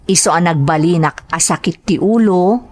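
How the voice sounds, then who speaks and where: A woman with an Ilocano accent hosting a drama show, reading greetings and a listener's letter. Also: on the low side. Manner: slow, expressive reading. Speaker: drama host and narrator.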